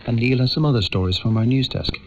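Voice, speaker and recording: A man's voice: mid-range voice, fast talker, a little mellow, slight background noise. A man presenting a news programme and handing over to reporters, heard over shortwave radio. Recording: dull shortwave radio broadcast.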